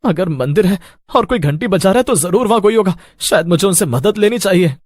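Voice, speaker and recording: A man playing a frightened, bewildered traveller lost at night in an animated horror story, anxious and hesitant. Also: high-pitched, fairly quick, no room sound, clean studio sound. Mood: uneasy.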